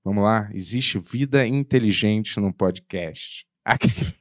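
A lower-pitched man co-hosting a live podcast, trading jokes and teasing his co-host in easy banter. His voice sits close and dry on a studio mic, with no room sound. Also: slow, dry recording. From Portugal. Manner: joking, teasing, playful. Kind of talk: joking live podcast chat. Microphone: studio microphone.

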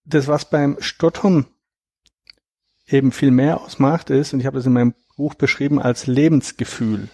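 A man in his thirties, a guest in an interview, explaining at length in a reflective, measured way with a gravelly, husky voice. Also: mid-range voice, fairly even intonation, warm tone, dry recording, clean studio sound. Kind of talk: conversational interview.

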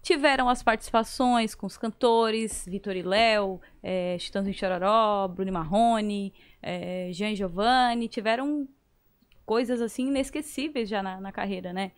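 A woman's voice: medium pitch, normal speed, smooth. A woman with a southern Brazilian accent from Santa Catarina, chatting casually as a singer guest and acting out remembered dialogue. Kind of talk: casual conversational storytelling.

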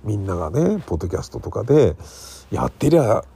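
A man's voice: fairly low pitch, big pitch swings, brisk, vocal fry, warm tone, slightly rough. A man in his sixties talking casually on his own as a podcast host, musing and rambling in a colloquial way. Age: 60s. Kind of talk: casual solo free talk.

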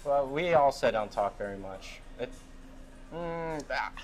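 A man's voice: mid-range voice, expressive, a little slow. A young man interviewing someone in a casual chat on a cruise ship, rambling with lots of fillers and frequent laughs.

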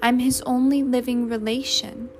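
A woman's voice: on the high side, flat delivery, moderate pace, over music, natural voice. A woman voicing a guileless working-class wife in an audiobook story, speaking plainly and earnestly. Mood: earnest, defensive.

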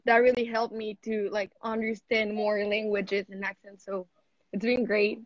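A woman's voice: medium pitch, level tone, normal speed, no room sound, dull audio. A seventeen-year-old woman from Mexico, an athlete, chatting casually in an interview over a video call and saying "like" a lot. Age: teens. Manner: chatty, heavy use of "like".

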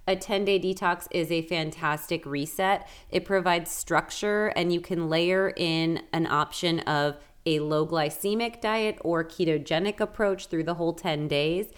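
A woman's voice: lowish voice, unhurried, hardly any pauses, clean tone. A woman co-hosting a podcast, upbeat and explanatory, walking listeners through advice and products. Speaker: podcast co-host. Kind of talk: casual chat and product promotion.

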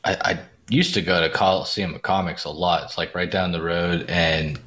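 A man's voice: low-pitched, steady tone, moderate pace, fairly bright tone. A man hosting a podcast in casual group chat, using slang and swearing freely. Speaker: podcast host. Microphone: remote group call.

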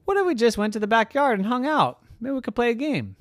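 A storyteller voicing a big, very polite dragon who speaks in a gentle, courteous way. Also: mid-range voice, very animated, fast talker, no echo, pitched above the performer's own voice.

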